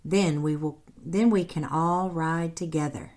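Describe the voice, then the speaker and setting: Woman voicing Lissy, an expressive lonely little girl. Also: deep voice, measured pace. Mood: eager. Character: Lissy, lonely young girl with paper friends.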